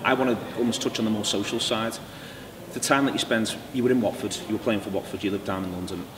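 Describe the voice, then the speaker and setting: A man's voice: on the low side, steady tone, fast. A man hosting an interview, eager and rambling in his questions with fillers. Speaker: podcast host. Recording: distant mic in an echoey noisy room.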